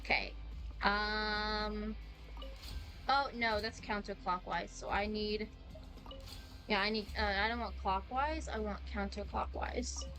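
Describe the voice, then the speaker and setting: A young woman chatting casually in a rambling, excitable, slangy way as she streams a game. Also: medium pitch, takes it slowly, pauses often, rough recording. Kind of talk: casual live-stream chat while playing. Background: game music.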